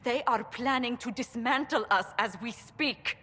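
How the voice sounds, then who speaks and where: A husky, principled diplomat. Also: female voice, a little high, a little slow, pushed and bright, full character voice. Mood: indignant. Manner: formal, pointed. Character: indignant, principled female diplomat in a fantasy realm. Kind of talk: dramatic fantasy video game acting. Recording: long hall reverb.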